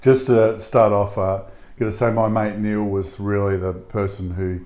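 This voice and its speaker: A man's voice: lowish voice, little pitch movement, moderate pace, a little mellow. A man who is a pastor, co-hosting a casual podcast discussion in an earnest, emphatic way with frequent fillers.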